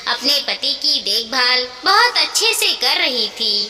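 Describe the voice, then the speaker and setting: A woman narrating a scripted moral story in an expressive, earnest way. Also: high-pitched, slow. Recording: echoey reverberant sound, clear background noise.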